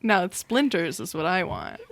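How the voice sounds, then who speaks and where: A woman's voice: fairly low pitch, very animated, a little slow. A woman co-hosting a casual TV recap podcast, with a dry, joking way of talking. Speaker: podcast co-host.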